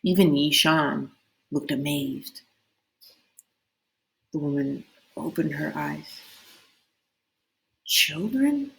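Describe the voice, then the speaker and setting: Female read-aloud host, slightly low voice, expressive and dramatic narration of children's fiction, roomy sound. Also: slow pace, vocal fry, pauses often. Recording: roomy, echoing indoor sound.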